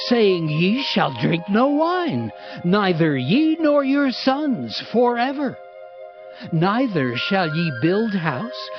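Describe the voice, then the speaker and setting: An actor voicing solemn, dutiful biblical tribesmen in a slow, emphatic, declamatory scripture dramatization. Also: medium pitch. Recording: dull audio with prominent music behind.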